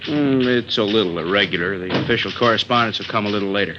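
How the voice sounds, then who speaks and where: Low-voiced, laconic actor as a police detective. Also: male voice, quick pace, music in the background, close to an everyday voice. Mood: matter-of-fact. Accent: American. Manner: laconic, matter-of-fact. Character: easygoing male police detective, a family man. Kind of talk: 1950s radio drama acting. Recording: muffled vintage 1950s radio broadcast.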